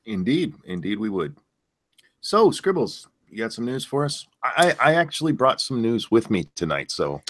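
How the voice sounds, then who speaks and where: A man's voice: medium pitch, sing-song, moderate pace, fairly bright tone, no echo. A man hosting a live stream, chatting casually with dry, joking banter. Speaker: stream host.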